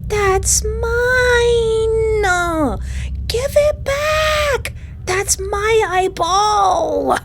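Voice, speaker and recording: A woman's voice: very high-pitched, lively intonation, very slow, vocal fry, fairly bright tone, a little hiss or hum, voice pushed up, heavily acted. A woman voicing a terrified female captive in a visual novel, pleading and wailing with melodrama.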